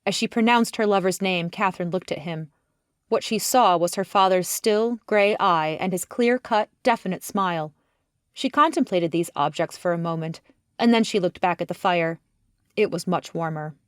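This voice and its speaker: A woman's voice: lowish voice, moderate pace, smooth, no echo, studio quality. A woman reading scripted literary narration as an audiobook narrator.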